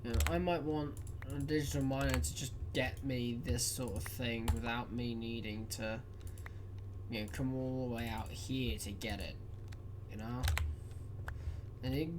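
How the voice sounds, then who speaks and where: English woman, tired let's-play host, rambly and self-mocking, keyboard typing clear behind. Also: very deep voice, moderate pace, noisy background. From England. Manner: chatty, rambling, self-deprecating. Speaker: gaming YouTuber. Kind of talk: casual gameplay commentary.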